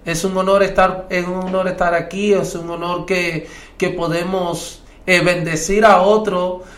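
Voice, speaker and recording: A man's voice: sits high, level tone, unhurried, a bit bright. A man testifies in church, his colouring every phrase. He preaches with rising fervour, stacking rhetorical questions and repeating lines for weight. The sound carries a roomy, echoing space around him. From Cuba. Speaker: church guest giving testimony.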